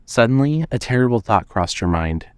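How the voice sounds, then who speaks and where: A man's voice: mid-range voice, lively intonation, moderate pace, smooth, no room sound. A man narrating scripted suspense fiction as the storyteller of an audio drama.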